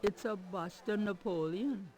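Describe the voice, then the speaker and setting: A calm, measured narrator describing scenes in an adventure game. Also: medium pitch, lightly acted, rough recording. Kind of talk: scripted narration.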